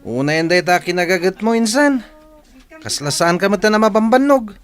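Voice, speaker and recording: A man's voice: high voice, lively intonation, normal speed, clear, resonant tone. A man with an Ilocano accent playing a teasing, sly, pushy young cousin in a radio drama, boisterous and wheedling. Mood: cajoling.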